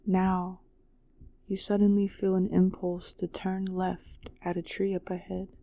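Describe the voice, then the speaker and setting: A woman narrating a guided meditation in a soothing, measured way with long pauses. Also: fairly low pitch, little pitch movement, creaky, mellow, no room sound.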